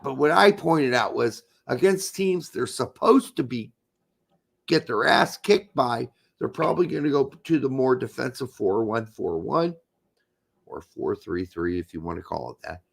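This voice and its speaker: Man, hoarse, gravelly, emphatic, casual podcast banter. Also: medium pitch, expressive, a little slow. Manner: emphatic, argumentative, swears. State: hoarse, losing his voice. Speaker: podcast co-host. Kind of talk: casual soccer talk.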